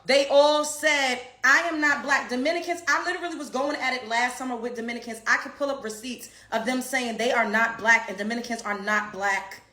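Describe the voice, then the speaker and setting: A woman's voice: a little high, fairly even intonation, fairly quick, hardly any pauses, bright, forceful tone. Blunt, profane New woman ranting. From Brooklyn, New York. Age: 30s. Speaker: online commentator and comedian. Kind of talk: heated ranting commentary. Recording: roomy echo.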